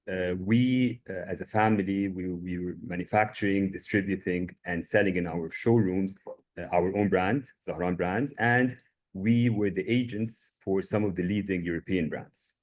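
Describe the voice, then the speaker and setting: A low-pitched man in his thirties from Egypt speaks over a video call. He recounts his background in an even, measured way and often pauses on filler sounds. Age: 30s. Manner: measured storytelling with frequent uh fillers. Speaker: guest, family business owner. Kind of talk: webinar presentation.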